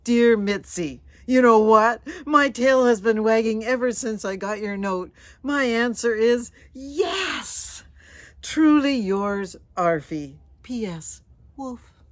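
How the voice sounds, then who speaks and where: A woman's voice: fairly high pitch, takes it slowly, dry recording, pitched above the performer's own voice. A woman voicing a hopeful, lonely male stray dog from a storybook, pleading earnestly and emphatically. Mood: joyful. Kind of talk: storybook character voice, letters read aloud.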